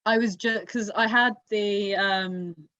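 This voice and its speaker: A woman chats casually in an excitable, storytelling way, at a middling pitch. The sound is dry and a little muffled, like a modest home recording. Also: takes it slowly, dry recording. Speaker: motorsport podcast host. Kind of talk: casual race review chat.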